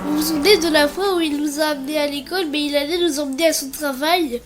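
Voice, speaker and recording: A woman voicing a curious young granddaughter in an animated film, with music playing behind her. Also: high-pitched, fast, close to an everyday voice. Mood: chatty.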